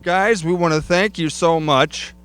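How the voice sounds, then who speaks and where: A man's voice: fairly high pitch, a little slow, fairly bright tone, clean tone. A man hosting outdoors on a wooded trail, chatting and joking with guests. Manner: jokey, chatty. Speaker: host. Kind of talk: casual chat.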